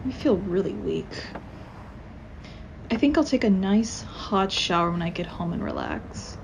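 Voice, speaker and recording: A woman's voice: mid-range voice, darkish tone, a little hiss or hum, poor audio. A woman playing a shy, weary accountant in a radio mystery drama, grumbling to herself in a guarded way. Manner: muttering to herself, sighing, guarded.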